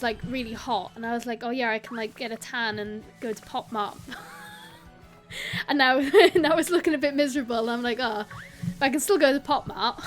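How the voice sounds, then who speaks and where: Young woman, South Welsh accent, high voice, giggly and chatty, streaming with soft game music behind. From South Wales. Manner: chatty, giggly, greets viewers, rambling asides. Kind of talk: casual live-stream chat while gaming.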